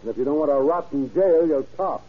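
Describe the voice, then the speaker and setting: Husky, brusque old-radio police captain. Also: male voice, fairly high pitch, expressive, brisk. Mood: stern, pressing. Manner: brusque, slangy, commanding. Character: tough, wisecracking police captain hunting drug dealers. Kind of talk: 1930s radio crime drama acting. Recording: muffled, lo-fi old radio recording.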